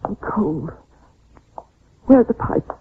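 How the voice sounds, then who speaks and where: An actress playing a frightened, insistent fiancée with a pleading, dramatic delivery in an old-time radio horror play. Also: female voice, takes it slowly, close to an everyday voice. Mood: uneasy. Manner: pleading, dramatic, breathlessly emphatic. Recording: noisy, tinny old radio recording.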